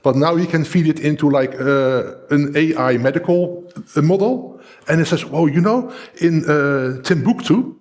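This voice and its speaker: Dutch-accented man, fairly high voice. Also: normal speed, darkish tone. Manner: thoughtful, explains with examples, frequent fillers. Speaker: cybersecurity researcher guest. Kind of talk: conversational discussion.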